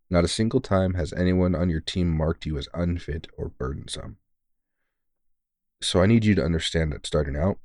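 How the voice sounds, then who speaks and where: A man's voice: sits low, darkish tone, no room sound, studio quality. A man playing a big veteran vampire hunter in an intimate audio roleplay, talking bluntly and sweary, yet earnest and reassuring. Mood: candid, wry. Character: big, gruff but caring veteran male vampire hunter, mentoring.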